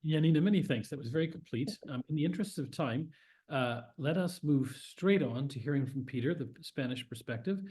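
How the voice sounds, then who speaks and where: Mellow-voiced male moderator. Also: on the high side, fairly quick. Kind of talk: moderating an online panel.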